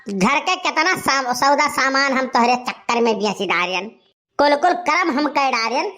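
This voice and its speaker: A woman's voice: high-pitched, animated, normal speed, bright, forceful tone. A woman playing a desperate, lovesick young village man in a cartoon comedy, pleading melodramatically with an Awadhi accent. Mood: accusing, wounded. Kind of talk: animated comedy dialogue.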